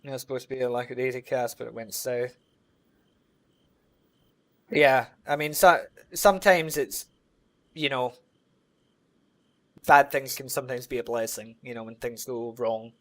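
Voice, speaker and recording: A man's voice: medium pitch, measured pace, pauses often. Rambling man chatting on stream. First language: English. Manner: rambling, relaxed, heavy on filler words. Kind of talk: casual chat with a live audience while gaming.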